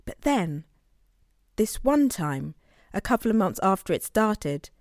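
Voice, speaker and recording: An earnest sister with a searching, wistful manner, in a naturalistic audio play. Her voice sits at a middle pitch and is recorded very dry. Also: sing-song, a little slow, no echo. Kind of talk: naturalistic audio drama monologue and dialogue.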